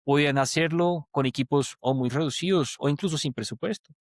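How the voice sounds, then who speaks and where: A man's voice: fairly high pitch, fairly quick, no echo. A man in his thirties from Portugal whose first language is Portuguese, talking at length as a podcast guest, fluent and reflective with some restarts. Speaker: guest, transport project leader. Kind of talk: conversational interview.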